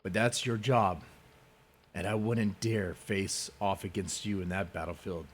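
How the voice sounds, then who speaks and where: A man giving a dramatic reading in a theatrical, scornful manner, leaning on words with deliberate, drawn-out emphasis. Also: low-pitched, steady tone, husky. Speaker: reader of an online post.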